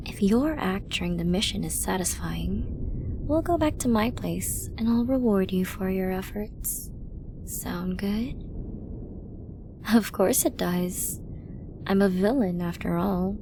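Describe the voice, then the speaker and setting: A woman playing a flirty, dominant villain in an ASMR roleplay, teasing and possessive, often slipping into an intimate whisper. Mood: playful, coaxing. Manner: slow, teasing, breathy lapses into whispering. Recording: noisy with low rumble.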